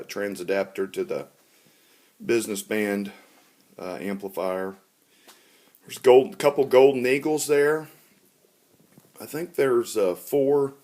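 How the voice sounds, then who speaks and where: A man narrating an informal show-and-tell tour as a hobbyist collector, in a halting, matter-of-fact way. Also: fairly low pitch, a little mellow.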